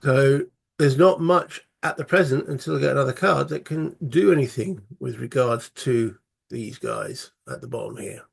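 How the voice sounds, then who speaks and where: A man, croaky from a cold, casually talking through a solo board game and thinking aloud with many fillers. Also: mid-range voice, sing-song, moderate pace. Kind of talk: casual tabletop playthrough commentary.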